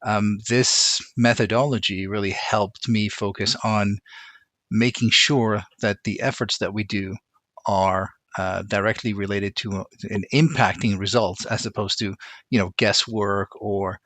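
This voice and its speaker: A man with a fairly low voice, recorded dry and close. He is from Lebanon and speaks as an interview guest, in a measured, reflective and somewhat hesitant conversational manner. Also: fairly even intonation, fairly bright tone, dry recording. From Lebanon, immigrated to Montreal as a teenager. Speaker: interview guest, corporate aviation operations manager.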